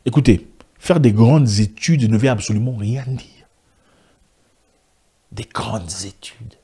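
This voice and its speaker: Husky man lecturing his viewers. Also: medium pitch, takes it slowly, mellow. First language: African language. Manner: emphatic, lecturing, repeats himself. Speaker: online commentator. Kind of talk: direct-to-camera commentary.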